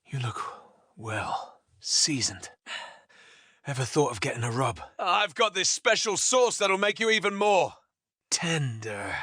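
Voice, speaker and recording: Man doing a creepy, hushed old man. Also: high voice, big pitch swings, measured pace, no echo, clean studio sound, heavily acted. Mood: creepy, insinuating.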